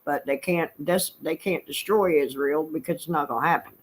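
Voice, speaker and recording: A woman's voice: deep voice, moderate pace, rough recording. Southern lady from florida, blunt talker, laughs a lot. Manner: blunt, opinionated, laughs often. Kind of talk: casual chat.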